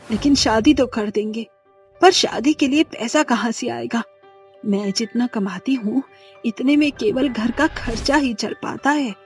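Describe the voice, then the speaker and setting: A woman voicing an anxious, poor middle-aged mother with a husky voice. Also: fairly high pitch, a little slow, soft background music. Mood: worried. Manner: anxious, fretting.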